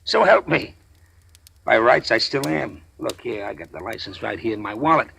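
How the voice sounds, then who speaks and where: A man playing a wary middle-aged gangster who was once a preacher, folksy and drawling, with quiet menace, in 1940s radio drama style. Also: mid-range voice, lively intonation, normal speed, vocal fry, slightly rough, heavily acted.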